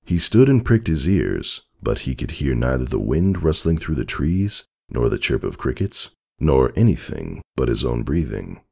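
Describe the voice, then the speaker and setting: A man narrating a story as a dramatic scripted reading, with a gravelly, husky voice and expressive literary delivery. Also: a bass voice, moderate pace, hardly any pauses.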